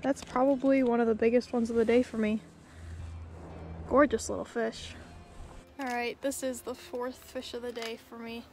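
Young adult woman, outdoor vlogger, slightly high-pitched, casual chat to a handheld camera. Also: level tone, normal speed, pauses often, darkish tone, slight background noise. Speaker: vlogger and angler. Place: outdoors at a creek bank. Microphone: handheld camera at arm's length.

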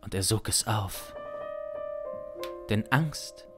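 Very low-voiced young male storyteller, slow, brooding. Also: lively intonation. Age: young adult. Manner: brooding, deliberate, suspenseful. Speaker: improv audio drama narrator. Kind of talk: dramatic improvised storytelling. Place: small home studio, indoors. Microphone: close studio microphone. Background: instrumental music.

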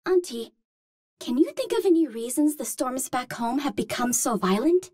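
A woman's voice: sits high, lively intonation, normal speed, darkish tone, slightly rough, no room sound, clean studio sound. An actress playing a cute, naive young Martian space healer, speaking in a sweet, girlish, precise and formal way. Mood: curious, earnest.

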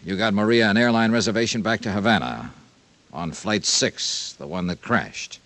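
An actor playing a brisk, wry American insurance investigator with an American accent, in old-time radio detective style. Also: male voice, lowish voice, fairly bright tone, no room sound. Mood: dry, probing.

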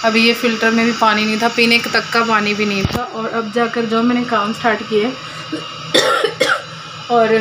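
A woman in her thirties with a cold and a hoarse voice, chatting casually through a home kitchen vlog, filmed on a phone. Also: a little high. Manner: rambling, chatty, lots of fillers. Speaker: vlogger and housewife. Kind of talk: casual daily vlog chat. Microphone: phone camera, selfie then propped up across the room. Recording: noisy phone recording, pump motor running.

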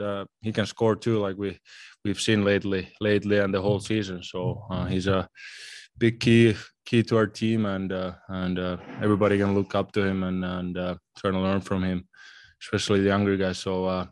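Young hockey guy, low monotone voice, dry sound, keeps repeating words, so so, and and. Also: no room sound. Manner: halting, many repeated words and fillers. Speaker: hockey player at postgame press conference. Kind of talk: postgame interview answers. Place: arena press room. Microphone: table mic in front.

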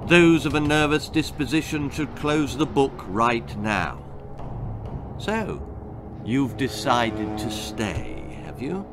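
A man's voice: medium pitch, animated, takes it slowly, loud music behind the voice. A British man in his sixties reading a children's story aloud in a theatrical, arch manner. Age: 60s. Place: small book-lined room indoors.

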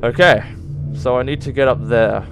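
Young male gamer, slightly hoarse dry voice, excitable, sudden yelps, mid pitch, game music playing behind. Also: normal speed, poor audio. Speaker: let's play gamer. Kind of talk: casual gameplay commentary.

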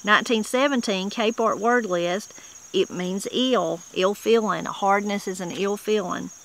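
A woman's voice: medium pitch, unhurried, rough recording. A middle-aged woman with a Southern Appalachian accent, casually explaining old mountain words outdoors in a wooded yard. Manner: homespun and plain-spoken, with frequent restarts. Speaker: channel host. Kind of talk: casual explanatory talk reading dictionary entries.

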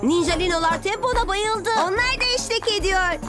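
A woman's voice: extremely high pitch, moderate pace. A woman voicing a bossy, boastful young boy ninja villain in a children's cartoon, commanding and indignant. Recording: loud music behind, slightly distant voice. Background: loud cartoon music.

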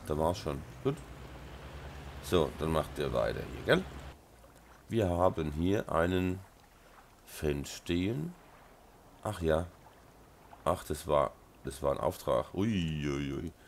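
A man with a Rhine-Hessian German accent, hoarse from a sore throat and blocked nose, casually commenting on his farming game and mumbling with many ums. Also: extremely low pitch, slow, long pauses, slight background noise. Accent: Rhine-Hessian German dialect. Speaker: let's play host.

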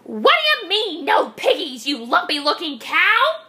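A woman's voice: high voice, big pitch swings, slow, bright, forceful tone, exaggerated voice. A woman voicing a cranky, bossy storybook witch who screeches, cackles and hurls insults. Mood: furious. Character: cranky, bossy witch hunting pigs, shrieking and threatening.

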